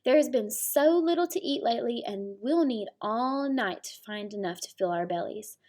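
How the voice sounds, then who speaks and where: Storybook mother hyena, played by a woman: drowsy, motherly, cautioning, lifted pitch. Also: animated, moderate pace, a little mellow, lightly acted. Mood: sleepy warning. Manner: yawning, motherly. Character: sleepy, hungry mother hyena, gently cautioning.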